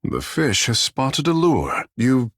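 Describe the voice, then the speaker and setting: Vain, theatrical young demigod with wildly swooping pitch. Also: male voice, big pitch swings, takes it slowly, a bit bright, husky, no room sound, clean studio sound, heavily acted. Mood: breezy, self-assured. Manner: theatrical, haughty, playful. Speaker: video game companion character. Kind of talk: scripted game voice lines and battle barks.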